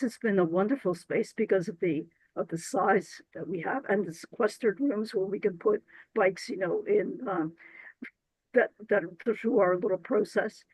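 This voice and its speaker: A woman presenting a grant application to council, her voice hoarse and scratchy today, talking earnestly and restarting phrases as she goes. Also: mid-range voice, no echo.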